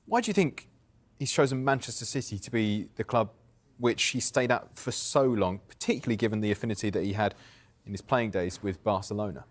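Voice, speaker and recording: Measured, low-voiced male news presenter. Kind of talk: live news interview.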